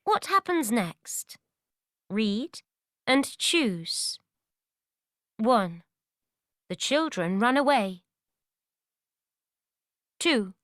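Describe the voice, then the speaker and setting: A woman narrating a scripted children's story for young learners in deliberate, simple phrases. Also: a little high, sing-song, a bit bright, no room sound, clean studio sound.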